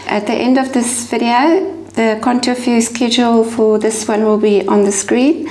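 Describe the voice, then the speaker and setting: A middle-aged woman from South Africa, whose first language is Afrikaans, speaking with a South African accent as she calmly explains each step of a fused glass project. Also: a little high, unhurried. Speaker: glass artist demonstrating a craft. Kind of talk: crafting tutorial voice-over and to-camera talk. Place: home room with a window. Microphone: lapel mic.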